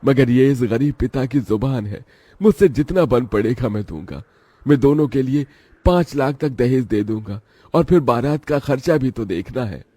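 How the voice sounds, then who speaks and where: A man's voice: mid-range voice, animated, moderate pace, dark tone, breathy edge, no room sound. A man voicing a poor, pleading village father. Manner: pleading, earnest. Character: poor, anxious, devoted middle-aged village father. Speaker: father of five daughters. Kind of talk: dubbed cartoon drama.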